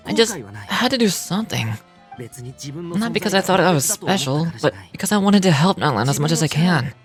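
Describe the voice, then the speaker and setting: An earnest young video game hero, acted by a man, remorseful yet determined, speaking as a dutiful grandson. Music plays clearly behind him. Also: fairly high pitch, lightly acted.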